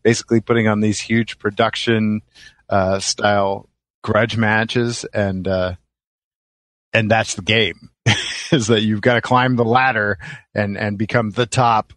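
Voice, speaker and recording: Casting: male podcaster, lowish pitch, dry close sound, rambling casual delivery. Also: little pitch movement, a little slow, fairly bright tone, no echo. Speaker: podcast host. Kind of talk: casual chat about video games.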